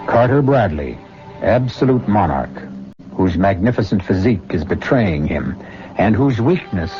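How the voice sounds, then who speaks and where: Casting: male host-narrator, low and portentous, measured scripted storytelling; muffled vintage radio recording. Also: darkish tone. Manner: grave, portentous, measured phrasing. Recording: dull old radio recording with music behind.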